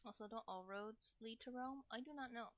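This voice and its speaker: A woman's voice: fairly high pitch, fairly quick, fairly bright tone, muffled recording, slight background noise. A woman chatting casually to livestream viewers in a playful, rambling way, often repeating short phrases and slipping into cutesy broken grammar. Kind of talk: casual livestream chat while cooking.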